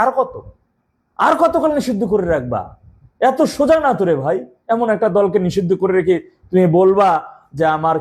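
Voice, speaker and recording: A man's voice: sits high, a little mellow, husky. A man giving an angry, rambling political monologue full of insults and restarts. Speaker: political commentator.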